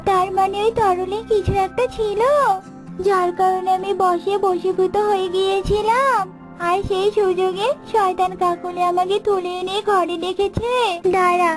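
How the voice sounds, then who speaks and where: A woman's voice: very high voice, flat delivery, moderate pace. A woman voicing a magical talking banana tree in a cartoon, bewildered and indignant. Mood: indignant resolve. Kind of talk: children's cartoon voice acting. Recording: music behind the voice.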